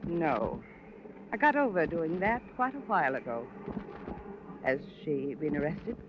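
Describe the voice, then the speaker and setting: Bitter widow on noisy old radio. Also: female voice, deep voice, big pitch swings, unhurried. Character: bitter, wounded wife of a murdered man. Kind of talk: 1940s-50s radio drama acting. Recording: muffled, noisy vintage radio recording.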